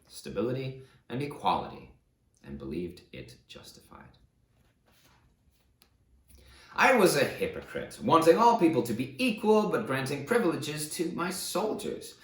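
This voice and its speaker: A man reading an audiobook as a remorseful fallen conqueror, confessing his atrocities in a grave, measured, emphatic way. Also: mid-range voice, sing-song, roomy sound, lightly acted. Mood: forceful, bitter. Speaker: audiobook narrator. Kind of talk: dramatic first-person audiobook reading.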